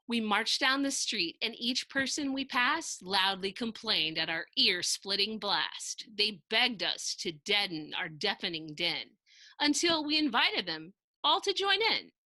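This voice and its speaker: Woman, children's storybook narrator, bright forceful tone, dry close sound, expressive sing-song rhythm, emphatic. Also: a little high, no echo. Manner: theatrical, emphatic, sing-song rhyming delivery. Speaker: storybook narrator reading aloud. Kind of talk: expressive children's picture book read-aloud.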